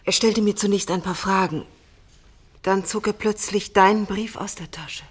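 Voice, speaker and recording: An actress playing a shaken, earnest daughter in a German radio play, with deliberate dramatic phrasing. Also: female voice, medium pitch, husky, natural voice. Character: Maura, a shaken, earnest daughter recounting grim events.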